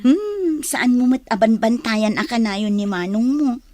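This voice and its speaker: A woman's voice: on the high side, expressive, measured pace, a little mellow, no echo. A woman playing an amused, skeptical, teasing young Filipino confidante in a radio serial. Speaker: radio drama actor.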